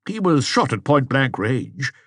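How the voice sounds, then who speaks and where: A man voicing a brisk, clinical, spry old police doctor in an audiobook. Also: medium pitch, sing-song, fairly bright tone, no room sound, clean studio sound, voice pushed up, exaggerated voice. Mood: matter-of-fact.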